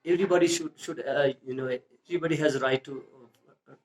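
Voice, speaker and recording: A man from Pakistan with a Pakistani accent, a school founder answering interview questions in earnest, non-native English, pausing often with fillers and restarts. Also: on the high side, fairly even intonation. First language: Urdu or a local language.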